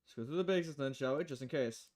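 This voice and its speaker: A performer voicing a calm, dry male bartender who patiently explains things in matter-of-fact visual novel dialogue. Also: mid-range voice, fast talker, lightly acted. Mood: patient, instructive.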